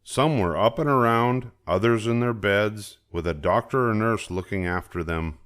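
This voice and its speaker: Older male storybook narrator, very low voice, expressive read-aloud for young children. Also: normal speed. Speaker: storybook reader.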